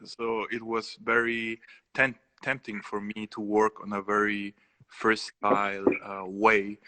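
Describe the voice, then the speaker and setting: Peruvian-accented male artist, low flat voice, hesitant delivery with frequent fillers. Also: a little hiss or hum, low-quality recording. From Peru. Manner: hesitant, many fillers and restarts. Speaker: artist guest. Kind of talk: casual live interview.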